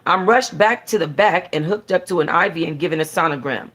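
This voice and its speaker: A woman reading a novel aloud in an expressive, conversational, sassy way with casual slangy asides, as a book club host. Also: lowish voice, fairly quick. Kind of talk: expressive first-person audiobook-style reading with casual asides.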